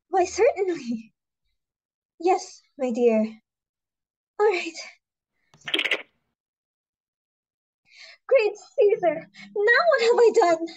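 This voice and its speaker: A woman's voice: very high voice, muffled recording, exaggerated voice. A woman playing an anxious, bungling young society daughter in an amateur reading of a stage comedy, exclamatory and apologetic. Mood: flustered.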